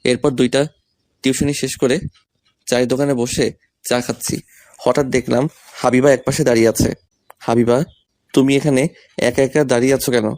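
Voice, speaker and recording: A man's voice: mid-range voice, level tone, moderate pace, long pauses, a little mellow, no room sound. A young man reading a scripted first-person romantic story, voicing the male lead's lines as well. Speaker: story narrator and male lead.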